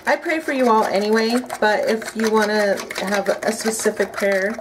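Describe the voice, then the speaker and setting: A woman's voice: medium pitch, moderate pace. A woman in her thirties, chatting upbeat as she cooks a recipe at home and thinks aloud. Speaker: home cooking vlogger. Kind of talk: casual cook-along narration. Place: home kitchen, indoors. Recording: home kitchen, clear noise of dishes and utensils. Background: clinking cutlery and pots.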